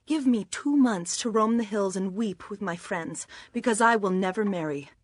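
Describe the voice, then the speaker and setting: An actress playing a dutiful, gentle young daughter, resigned and sorrowful, in a dramatized audio Bible. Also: female voice, mid-range voice, moderate pace, no echo, close to an everyday voice. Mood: sorrowful resignation.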